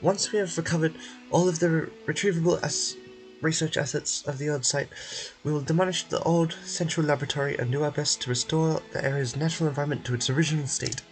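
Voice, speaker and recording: A man's voice: a little high, steady tone, quick pace, faint music behind, close to an everyday voice, poor audio. Man playing a courteous, assured institute spokesperson. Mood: courteous, firm.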